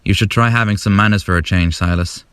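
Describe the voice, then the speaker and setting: A man's voice: low voice, steady tone, quick pace, a bit bright, clean tone, no room sound. A man voicing a blunt, exasperated steam engine in an audio story, scolding in a plain-spoken way. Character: blunt, fed-up male steam engine, defending his elders. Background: music.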